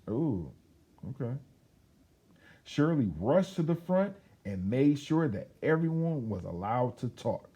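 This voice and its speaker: A man reads a children's picture book aloud in a mellow, slightly husky voice. His delivery is expressive and emphatic, with pitch that rises and falls freely as he tells the story. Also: dull audio. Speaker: children's read-aloud host.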